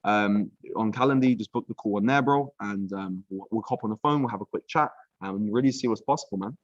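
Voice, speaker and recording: A young British man breaking down trading charts on a casual live stream, relaxed and chatty, full of "um", "bro" and "like". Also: lowish voice, a little fast, no room sound, muffled recording. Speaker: trading mentor. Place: villa room.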